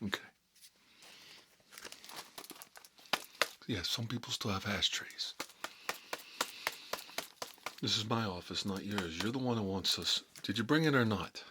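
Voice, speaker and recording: A man playing a cagey, cocky mob boss in an ASMR role-play, talking unhurried in needling tough-guy street talk. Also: fairly low pitch, very noisy, lightly acted. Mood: bossy, needling.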